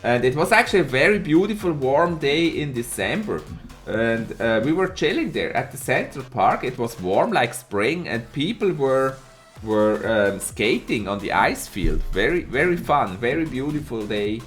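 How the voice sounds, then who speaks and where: A man's voice: medium pitch, normal speed, faint music behind. A man with a slight cold commentating an esports match and chatting with viewers, laughing often. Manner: lively, laughs often, greets chat viewers by name. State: a bit hoarse from a cold. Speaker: esports caster and streamer. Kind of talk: live tournament commentary with chat replies.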